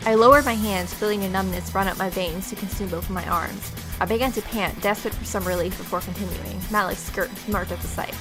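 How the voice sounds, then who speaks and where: A woman's voice: mid-range voice, fairly even intonation, brisk, hardly any pauses. A young woman hosting a let's-play, reading game narration aloud expressively and dropping in playful, casual reactions. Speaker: let's-play video host. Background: game music.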